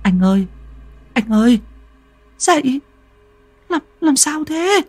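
Brief: man playing anxious wife, high-pitched and frantic. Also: very animated, slow, dry recording, soft background music, exaggerated voice. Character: frantic worried young wife.

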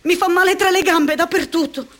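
An actress playing a worn-out, ailing young woman in a radio play, speaking quietly and wearily. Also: very high voice, brisk. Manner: quiet, weary, dreamy. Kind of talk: Italian radio play acting.

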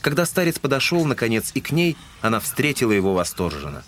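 A man's voice: mid-range voice, sing-song, fast, a bit bright. A man reading scripted literary narration as the narrator of an audio drama. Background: music.

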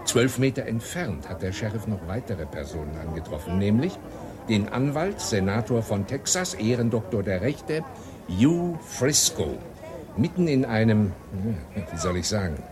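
A sardonic, gossipy man in a radio drama, played by an actor, with a fairly low voice. He tells his tale with relish over clear crowd bustle. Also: natural voice. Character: gossipy, sardonic male friend recounting a scandal. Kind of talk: storytelling dialogue in German radio play.

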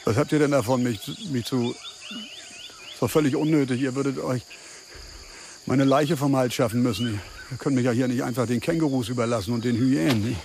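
An actor playing a desperate scam victim in a radio play, agitated and rambling with halting repetitions. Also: male voice, mid-range voice, darkish tone, slight background noise, lightly acted. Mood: fearful, reasoning. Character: desperate German scam victim, pleading and babbling in the bush.

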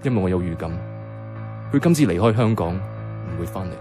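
A man's voice: low-pitched, expressive, fairly quick, over music. An actor with a Hong Kong Cantonese accent playing a pensive, regretful young man in a radio drama monologue.

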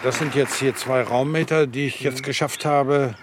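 An actor playing an exhausted, dutiful man pushing himself to his limit in a satirical radio play, halting and strained. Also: mid-range voice, steady tone, a crowd in the background, background noise, close to an everyday voice.

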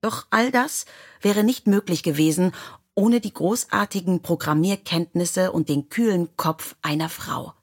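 A woman's voice: lowish voice, unhurried, breathy edge. A woman narrating scripted biographical stories in a storybook style. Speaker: audiobook narrator.

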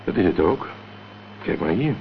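Cool, terse investigator, very low gravelly voice, determined, radio thriller, dull noisy old recording. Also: male voice, sing-song, normal speed. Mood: analytical, determined. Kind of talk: Dutch radio drama acting.

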